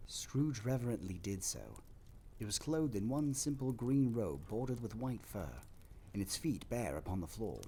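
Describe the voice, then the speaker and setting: A man narrating a dramatic story from a script in an expressive, theatrical manner. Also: fairly low pitch, moderate pace, a little hiss or hum.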